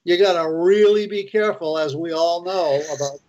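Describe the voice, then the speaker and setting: A man's voice: on the high side, normal speed, a little mellow, lo-fi sound. A man hosting a casual panel discussion, speaking in a mocking, insistent way. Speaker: show host.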